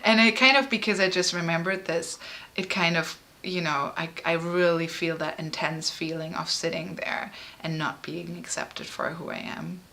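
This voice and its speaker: Bright-voiced woman, halting and emotional. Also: lowish voice, steady tone, vocal fry. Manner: halting and emotional, frequent fillers and restarts. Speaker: artist and musician. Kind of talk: personal interview.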